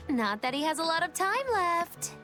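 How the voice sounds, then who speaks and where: A woman's voice: very high voice, big pitch swings, moderate pace, bright, forceful tone, faint music behind, exaggerated voice. An actress playing a flippant, teasing woman with a sardonic delivery in a video game.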